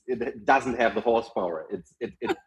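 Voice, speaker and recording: A young man with a German accent, a podcast guest telling lively stories in casual chat, full of fillers. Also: mid-range voice, moderate pace, dull audio. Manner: animated storytelling, frequent fillers and laughs.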